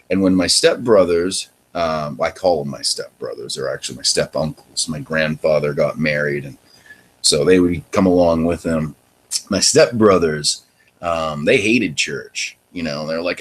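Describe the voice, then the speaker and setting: A very low, deep male voice with a husky grain, belonging to a young man from Maine. He recounts his own past in a loose, candid ramble. When a point matters to him, he gets heated and emphatic. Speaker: podcast host. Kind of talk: personal testimony monologue.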